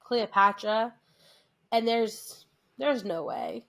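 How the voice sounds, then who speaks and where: An American woman co-hosting a podcast, chatting casually, animated and quick to restart her sentences. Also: fairly high pitch, vocal fry. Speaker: podcast co-host. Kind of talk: casual chat.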